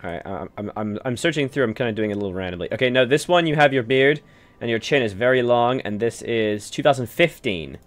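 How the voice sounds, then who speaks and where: Male host, deep voice, playful and teasing, very animated pitch. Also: fast. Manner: joking, teasing, laughs often. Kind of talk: casual gaming chat.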